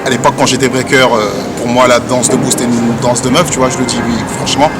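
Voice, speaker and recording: A young man from French Guiana, a dancer, answering interview questions in a relaxed, repetitive way outdoors on a city street. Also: medium pitch, fast. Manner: relaxed, repeats himself, drops to a mumble at phrase ends. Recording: street interview with loud music behind. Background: loud music.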